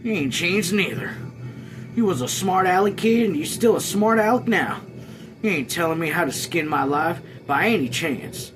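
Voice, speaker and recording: A man's voice: high-pitched, expressive, moderate pace, music in the background, pitched above the performer's own voice, poor audio. A man reading aloud as a stubborn, truculent country farm man, defiant and profane, with emphatic folksy speech.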